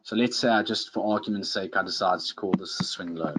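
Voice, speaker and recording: A man's voice: low-pitched, fairly quick, background noise. A man with a South African accent presenting an informal live tutorial as a webinar instructor, often hesitant and searching for words.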